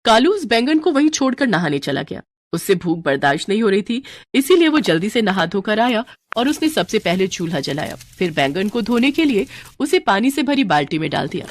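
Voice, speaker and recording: A woman's voice: mid-range voice, very animated, brisk, a little hiss or hum. A woman narrating a scripted children's moral story as storyteller.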